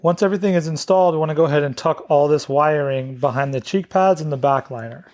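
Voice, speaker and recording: A man's voice: on the high side, quick pace, a little mellow. A man narrating a step-by-step product installation tutorial as a demonstrator.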